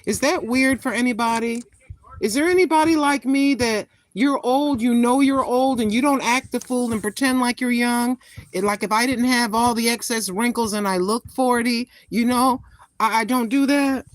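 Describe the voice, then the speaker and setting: A woman in her sixties chatting casually with viewers on a live stream, greeting them by name and talking her thoughts through out loud. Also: a little high, moderate pace, no echo, rough recording. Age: 60s. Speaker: vlogger greeting live chat.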